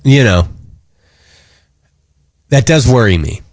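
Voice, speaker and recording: A man's voice: medium pitch, animated, slow, no room sound, clean studio sound. An American man hosting a live radio show, talking casually and earnestly in a rambling, concerned way.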